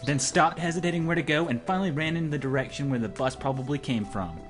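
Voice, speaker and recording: A man's voice: on the high side, fast talker, music in the background. A young man, an amateur, reading a visual novel aloud with casual banter and stumbling over words as he goes. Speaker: let's-play co-host.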